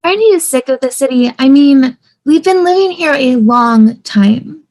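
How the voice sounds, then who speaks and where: A woman's voice: high voice, animated, measured pace, darkish tone. A woman playing an eager, persuasive young wife in a table read.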